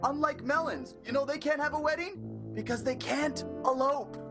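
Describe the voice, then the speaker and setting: A woman's voice: high voice, normal speed, over loud music, lightly acted. A woman playing a furtive, scheming vaudeville comic in an improvised radio comedy, urgent and conspiratorial with corny joke delivery. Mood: gleeful, scheming.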